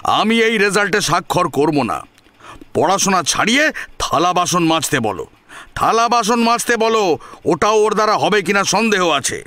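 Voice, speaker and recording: A man's voice: sits high, sing-song, normal speed, bright, forceful tone, dry recording, pitched above the performer's own voice, exaggerated voice. A man playing an angry, proud father in an audio drama, scolding with indignant, scornful rhetorical questions.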